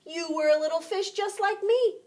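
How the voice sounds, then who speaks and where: Woman plays small storybook fish: high-pitched, curious, impatient, always eagerly questioning. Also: normal speed, a little mellow. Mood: indignant. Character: curious, impatient young minnow fish, friend of a frog.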